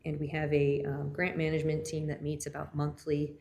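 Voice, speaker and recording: A woman on city grants staff presenting policy updates to a council, steady with frequent fillers. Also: deep voice, fairly quick, creaky, slight background noise. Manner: steady, many fillers, trailing off quietly.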